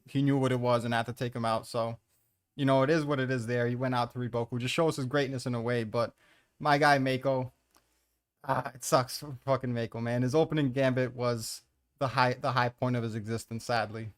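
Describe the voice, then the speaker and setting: A man's voice: mid-range voice, fairly even intonation, brisk. Blunt, sweary man ranting through a countdown. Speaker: host of a manga ranking commentary. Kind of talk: casual opinionated countdown commentary.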